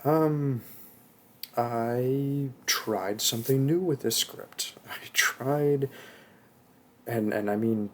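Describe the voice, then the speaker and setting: A soft-spoken man, an audio roleplay performer, talking casually and encouragingly to his listeners. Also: lowish voice, slight background noise. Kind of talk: casual outro addressed to listeners.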